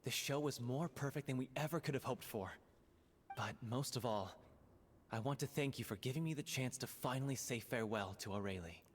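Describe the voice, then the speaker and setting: A man's voice: mid-range voice, fairly quick, close to an everyday voice. An actor playing a grateful, courteous theatre man in a video game, speaking politely and earnestly. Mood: grateful, busy. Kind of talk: scripted game dialogue. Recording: long echoing hall reverb.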